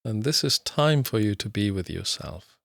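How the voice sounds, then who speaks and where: Male mindfulness teacher, lowish voice, dry close sound; calm, slow guided meditation delivery. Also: creaky, dry recording. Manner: slow, calm, soothing, with long pauses. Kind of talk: guided body scan meditation.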